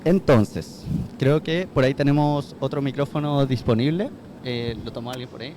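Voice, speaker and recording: A man moderating a live conference panel, courteous and brisk as he hands the microphone from speaker to speaker. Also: medium pitch, dark tone, a little hiss or hum. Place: conference venue.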